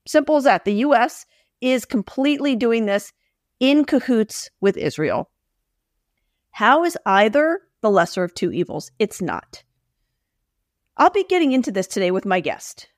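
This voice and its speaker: A woman's voice: mid-range voice, no room sound, clean studio sound. Emphatic woman podcast host. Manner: forceful, emphatic, repeats points for punch. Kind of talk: impassioned opinion monologue and interview.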